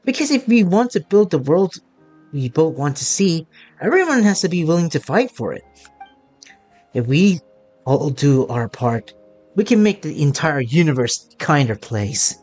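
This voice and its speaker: Low-pitched, animated woman game streamer. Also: normal speed. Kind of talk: playthrough commentary, voicing on-screen text and reacting. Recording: game music behind.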